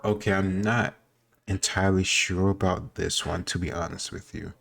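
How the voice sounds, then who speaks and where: A man's voice: deep voice, steady tone, normal speed, gravelly, a bit bright, slight background noise. A man giving casual commentary on a history quiz, thinking aloud in an informal, rambling way. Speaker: host reacting to a history quiz video.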